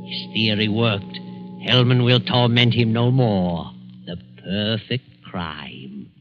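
A man narrating a drama in a deliberate, suspenseful 1940s radio style. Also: lowish voice. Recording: muffled 1940s radio recording with music. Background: dramatic music.

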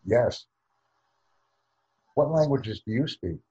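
An American man hosting a YouTube channel, speaking formally and deliberately with careful enunciation on a video call. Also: medium pitch, expressive, dark tone, husky, highs cut off. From United States.

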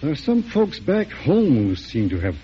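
An actor playing a loyal, deferential politician in an old-time radio drama, speaking in a respectful, measured, slightly hesitant way. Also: male voice, on the high side, wildly swooping pitch, warm tone. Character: loyal, deferential Southern male politician with a past. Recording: muffled, noisy vintage radio recording.

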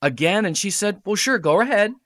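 A man's voice: high-pitched, animated, a little fast, a bit bright. A middle-aged man telling stories casually as a guest in an interview, chatty and fond of quoting conversations. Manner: chatty, recounts conversations in quoted dialogue. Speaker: radio play writer and director, podcast guest.

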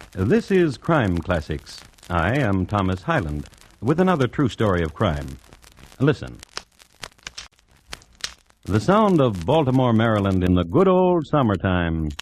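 An actor playing an urbane, wry crime connoisseur, narrating a 1950s radio series in a droll, deliberate way. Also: male voice, mid-range voice, darkish tone, a little hiss or hum, rough recording. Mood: wry, ominous. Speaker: host and narrator.

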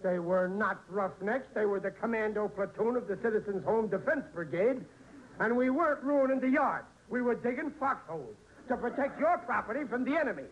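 A man's voice: high-pitched, expressive, a little fast, lo-fi sound. An actor playing a blustery, stubborn American working-class family man in an old-time radio sitcom, indignant and ungrammatical. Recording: noisy vintage radio recording.

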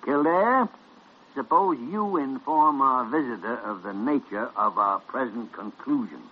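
An actor playing a gruff, pragmatic senior doctor with brusque authority, in old-time radio drama style. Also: male voice, medium pitch, expressive, a little slow. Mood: authoritative. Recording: dull, noisy vintage radio recording.

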